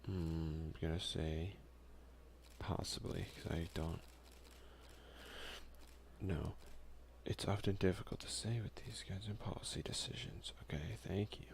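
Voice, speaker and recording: A man speaks softly and casually in ASMR gaming commentary. His voice is deep and heavily fried, often dropping to a whisper, with faint noise behind it. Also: fairly even intonation, unhurried, creaky, pauses often. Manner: soft-spoken, often slipping into whisper. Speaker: let's play ASMR video creator.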